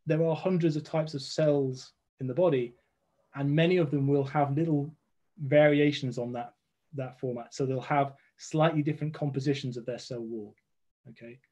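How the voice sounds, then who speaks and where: A man's voice: medium pitch, warm tone. A man in his forties, a guest scientist on a video call from his home, explaining step by step with frequent restarts. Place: home room with red walls.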